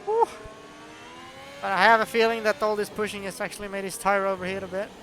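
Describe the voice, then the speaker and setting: A man from Norway with a Norwegian accent, not a native English speaker, giving chatty, excitable commentary on a sim race. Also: sits high, fairly quick, a little hiss or hum, poor audio. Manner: chatty, excitable, laughs often.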